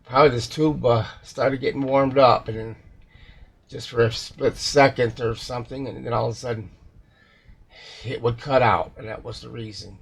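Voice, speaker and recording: A man with a gravelly, husky voice giving a casual, rambling repair walkthrough, hesitating and correcting himself as he goes. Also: mid-range voice, steady tone, normal speed. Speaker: repair technician.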